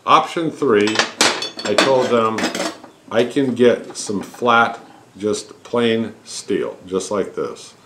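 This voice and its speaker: A man in his fifties with a very deep voice talks casually, like someone teaching in his own shop. He explains each step in a plain, folksy way. He is recorded in a garage workshop with clear background noise. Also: slow, fairly bright tone. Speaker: host of a home welding tutorial channel. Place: cluttered home garage workshop.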